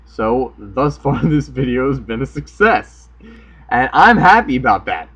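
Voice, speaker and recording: A man's voice: medium pitch, lively intonation, unhurried, a bit bright, husky, lo-fi sound. A man from Florida with a subtly Northern American accent, chatting casually and unscripted to camera in a wry, jokey, argumentative way. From Florida, United States. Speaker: online video host.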